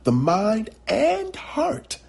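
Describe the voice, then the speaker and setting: A man voicing a wise, solemn old grandmother who tells mystical stories in slow, emphatic pronouncements. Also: sits high, wildly swooping pitch, vocal fry, darkish tone, slightly rough, voice pushed up, heavily acted. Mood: solemn, impassioned.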